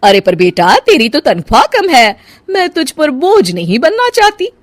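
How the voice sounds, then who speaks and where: A woman's voice: high voice, sing-song, moderate pace, no echo, voice pushed up. A woman voicing an older, emotional mother-in-law in a cartoon story, scolding and plaintive by turns. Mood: firm. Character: older stubborn, emotional mother-in-law pining for her son. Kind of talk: animated cartoon story dialogue.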